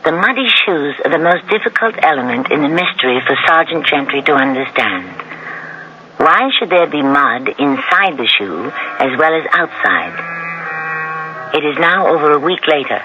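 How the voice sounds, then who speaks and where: A man hosting a 1970s radio mystery, giving grave, measured, dramatic scripted narration. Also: on the high side, slight background noise. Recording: dull old radio recording. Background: loud suspense music.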